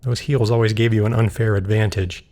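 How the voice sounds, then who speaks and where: Devoted, stubborn man in grief: husky, subdued, halting; audio drama. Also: lowish voice, fairly even intonation, warm tone. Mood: sad, gentle.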